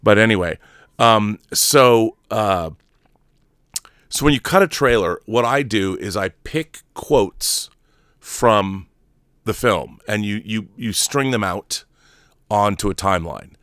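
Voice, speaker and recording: Low-voiced man, emphatic movie talk. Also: takes it slowly, a bit bright, smooth, no room sound, studio quality. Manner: emphatic, rambling, repeats and restarts phrases. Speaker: host of a film commentary show; trailer editor. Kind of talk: opinionated, off-the-cuff movie commentary.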